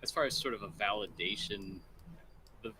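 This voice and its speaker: A man band member answering thoughtfully, in a measured way. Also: on the low side, bright, forceful tone, slight background noise, rough recording.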